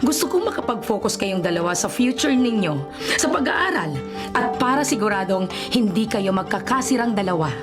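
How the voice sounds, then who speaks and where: Woman as doting meddling mother, Filipino accent, lively swooping delivery, teasing, radio drama, music underneath. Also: medium pitch, moderate pace, close to an everyday voice. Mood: teasing, insistent.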